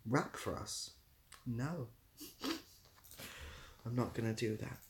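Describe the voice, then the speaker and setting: A man's voice: mid-range voice, slow pace, noisy background. A British-accented man chatting casually as a livestream host, answering viewers in a dry, easygoing, self-deprecating way. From Britain.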